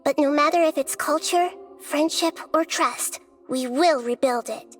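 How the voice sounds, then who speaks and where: A woman voicing a small, childlike but wise goddess in a video game, speaking in a gentle, measured, formal way. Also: sits high, faint music behind. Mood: thoughtful, earnest.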